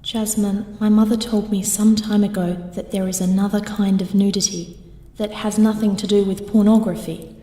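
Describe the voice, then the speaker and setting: A woman's voice: mid-range voice, flat delivery, moderate pace, warm tone, lightly acted. A young woman acting in an audio drama as a dreamy, trusting idealist who loves art, speaking earnestly and wistfully. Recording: distant, echoey hall, music behind.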